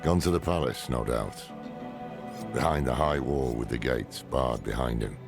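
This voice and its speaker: An old demon hunter for a dark fantasy game. The voice is rock-bottom low and full of gravel. He sounds blunt, sardonic and weary of fools, over clearly audible music. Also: normal speed. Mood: sardonic, stern. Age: older. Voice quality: deep, gravelly. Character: gruff, cynical old male demon hunter. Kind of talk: dramatic video game acting. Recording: dramatic music under the voice.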